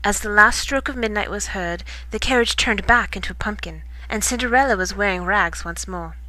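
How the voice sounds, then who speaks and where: A woman reading a children's bedtime story in expressive storybook narration. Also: mid-range voice, brisk, dry recording, rough recording.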